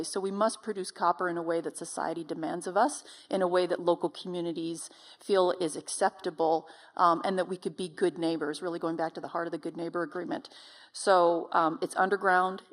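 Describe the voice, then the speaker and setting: A woman explaining things as a company representative in a panel discussion. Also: fairly low pitch.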